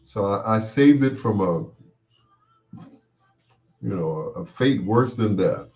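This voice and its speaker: A man's voice: fairly low pitch, expressive, takes it slowly, darkish tone. A man hosting a personal storytelling show in a casual, rambling, playful way, sometimes acting out what people said. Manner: playful, acts out quoted voices.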